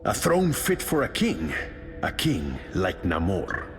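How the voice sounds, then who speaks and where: A man's voice: low-pitched, huge pitch swings, slow pace, full character voice. A man playing a proud, imperious undersea king in a video game, speaking pompously. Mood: haughty. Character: proud regal undersea king, haughty and grand. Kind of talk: video game character voice line. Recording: long reverb with music behind.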